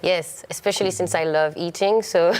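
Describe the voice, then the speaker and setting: A woman's voice: lowish voice, steady tone, moderate pace, a little mellow, slight background noise. A woman from France presenting on a TV show, cheerful and giggly.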